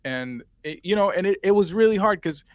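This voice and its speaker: A man's voice: high-pitched, expressive, fairly quick, no room sound. Young Puerto Rican man, dry close sound. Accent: Puerto Rican Spanish. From Puerto Rico. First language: Spanish. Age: 20s. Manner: rambling, many fillers and restarts. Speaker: guest. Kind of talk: casual interview chat.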